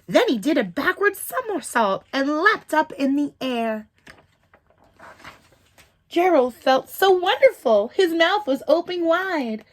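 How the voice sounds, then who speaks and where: A woman reading a picture book aloud to children, in a slow, animated and expressive way. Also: high voice. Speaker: children's storytime reader.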